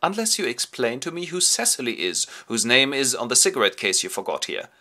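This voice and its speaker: A man voicing an idle, teasing young gentleman. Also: medium pitch, big pitch swings, a little fast, full character voice.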